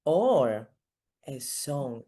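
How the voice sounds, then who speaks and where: A woman's voice: extremely low pitch, very animated, very slow, mellow. A woman hosting a casual interview in an encouraging manner, with non-native English phrasing. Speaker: interview host.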